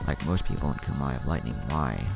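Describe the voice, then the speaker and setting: A man's voice: rumbling low, animated, fairly quick, vocal fry, music in the background, exaggerated voice. A man voicing a laid-back, sheepish male ninja who talks casually in an anime story. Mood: puzzled.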